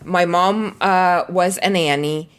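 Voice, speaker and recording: A woman's voice: lowish voice, steady tone, slow pace, clean tone. A middle-aged woman from El Salvador, a marketing leader, answering podcast interview questions in an earnest, reflective way with frequent fillers.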